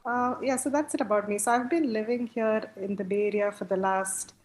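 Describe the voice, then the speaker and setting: A woman, a Tamil speaker, introduces herself as an interview guest. She talks in a plain, friendly way and uses "you know" as filler. Her pitch sits in the middle range. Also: fairly even intonation, brisk, a little mellow. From southern India. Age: 30s. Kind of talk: conversational interview.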